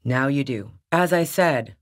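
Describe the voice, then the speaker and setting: A woman's voice: a bass voice, animated, measured pace, heavily acted. An actress playing a tough, wary, sardonic woman on the run in a video game, speaking bluntly. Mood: wry, guarded. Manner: blunt, sardonic, foul-mouthed. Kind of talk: video game character dialogue.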